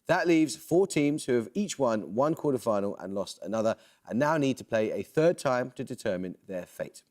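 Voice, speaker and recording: A man hosting a television quiz show, reading questions and introductions in a crisp, measured way. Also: medium pitch. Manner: crisp, measured, lively intonation. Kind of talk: scripted quiz presenting. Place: television studio.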